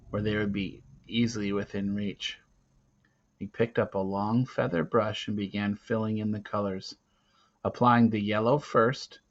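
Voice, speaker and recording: A man reading a story aloud as narrator, with a dramatic, expressive delivery. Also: lowish voice. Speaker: reader of a children's novel. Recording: dull, lo-fi recording.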